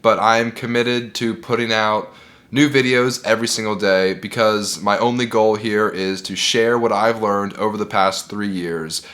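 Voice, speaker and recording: A man's voice: fairly low pitch, fairly bright tone. Congested man giving earnest business advice. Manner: earnest, encouraging, repeats key points. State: sick and congested. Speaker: bookkeeping business owner and video host. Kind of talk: direct-to-camera business advice.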